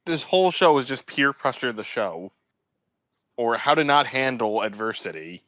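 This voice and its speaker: A man's voice: mid-range voice, animated, normal speed, fairly bright tone, no echo, lo-fi sound. A man co-hosting a podcast, giving his opinions in casual chat. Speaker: podcast co-host.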